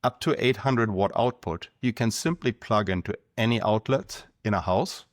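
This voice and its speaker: A man from Germany with a German accent, explaining things in a measured way as a podcast guest. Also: on the low side, expressive, no echo, studio quality. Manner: measured, explanatory, numbers-driven. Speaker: guest, company founder. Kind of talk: podcast interview.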